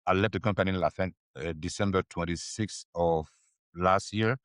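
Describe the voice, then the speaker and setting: A man's voice: low voice, normal speed, fairly bright tone, no room sound, clean studio sound. A man from Ivory Coast with an Ivorian French accent, a native French speaker, answering interview questions hesitantly with many fillers. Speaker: podcast guest. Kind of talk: casual interview talk.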